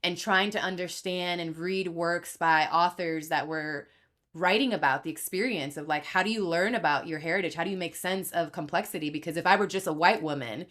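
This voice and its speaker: A young Dominican-born woman, a podcast guest, telling her story in a candid, animated way and acting out the voices she quotes. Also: fairly low pitch, fairly quick. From Dominican Republic. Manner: animated, acts out quoted voices, laughs easily.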